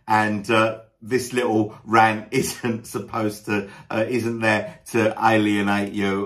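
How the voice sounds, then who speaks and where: Hesitant, low-voiced man chatting casually. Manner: hesitant, frequent ums and uhs as thinking time; self-deprecating, joking. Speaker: YouTube video creator. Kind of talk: casual talk to camera, answering a list of questions.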